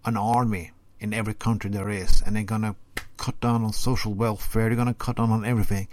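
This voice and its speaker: A man whose first language is Norwegian gives a sarcastic commentary segment in deadpan tones, with halting non-native phrasing. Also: fairly low pitch, a little hiss or hum. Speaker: podcast segment presenter.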